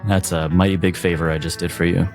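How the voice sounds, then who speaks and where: A man's voice: sits low, little pitch movement, fast talker, darkish tone, soft background music. A man voicing a weary, grudging tavern owner in a tabletop game, terse and matter-of-fact.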